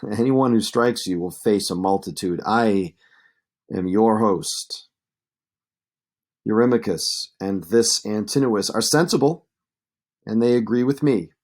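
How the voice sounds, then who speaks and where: A man's voice: on the low side, unhurried, long pauses, a little mellow. A man voicing an assured young prince in an epic read aloud, reassuring and authoritative. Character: Telemachus, holy young prince, assured protective host.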